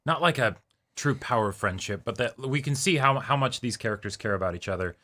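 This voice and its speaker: A man's voice: mid-range voice, expressive, brisk, bright, forceful tone. A young adult man chatting casually as co-host of a reaction show. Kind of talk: casual chat. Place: small indoor room, seated before a backdrop.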